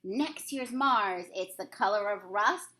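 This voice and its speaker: A woman teacher reading a rhyming picture book aloud to children in an expressive, sing-song storybook manner. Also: mid-range voice, slow pace.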